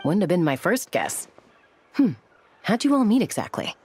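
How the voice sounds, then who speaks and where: A woman's voice: medium pitch, big pitch swings, normal speed, loud music behind the voice, natural voice. A woman playing a cool, observant adventurer in a video game. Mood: curious.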